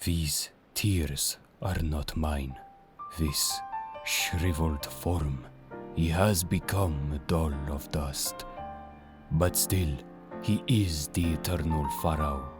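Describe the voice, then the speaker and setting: A man's voice: a bass voice, over music. A man playing a solemn, mysterious servant of a death god in an audio drama, speaking formally and ominously. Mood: sorrowful solemnity. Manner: slow, ominous, formal delivery.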